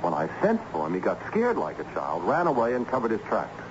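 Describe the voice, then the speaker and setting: An actor playing an earnest, learned schoolteacher who speaks insistently and didactically in a radio drama. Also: male voice, lowish voice, wildly swooping pitch, fairly quick. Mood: troubled. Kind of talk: old-time radio drama acting. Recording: muffled, noisy radio drama recording.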